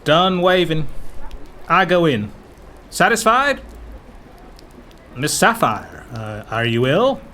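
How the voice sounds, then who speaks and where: A man's voice: a little high, very animated, takes it slowly, pauses often, bright, forceful tone, a little hiss or hum, heavily acted. A man playing a brusque, impatient, dismissive President of the United States in an audio drama. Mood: sardonic. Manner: dismissive, commanding. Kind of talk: fan-made audio drama acting.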